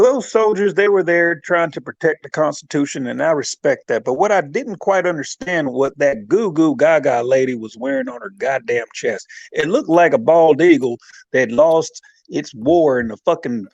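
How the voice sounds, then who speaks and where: A man's voice: on the high side, lively intonation, normal speed, lo-fi sound. A man playing a profane, pompous caller on a comedy radio bit, speaking. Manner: drawling, profane, pompous ranting.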